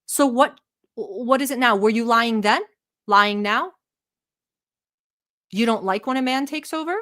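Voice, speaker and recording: A woman's voice: fairly high pitch, measured pace, a bit bright. A woman from Turkey hosting a live stream, giving blunt, mocking and profane commentary while talking to her chat. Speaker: livestream host reacting to a video.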